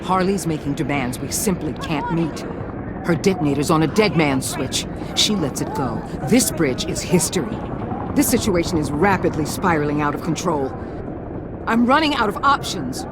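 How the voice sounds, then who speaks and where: An actress playing a tough middle-aged agency director in a video game, blunt, hard-bitten and authoritative. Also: female voice, low-pitched, expressive, moderate pace, heavy background noise. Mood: stern, exasperated.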